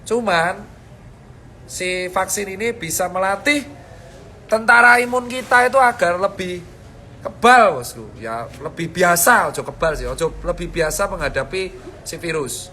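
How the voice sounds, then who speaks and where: A man with a lilt gives health advice in a high, ringing voice. His delivery is so blunt and fierce that it comes across as angry, and he keeps tossing slangy "boss" and "bro" at his listeners. A faint hiss sits under the voice. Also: animated, unhurried, pushed and bright. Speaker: vlogger giving health advice. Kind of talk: casual direct-to-camera talk.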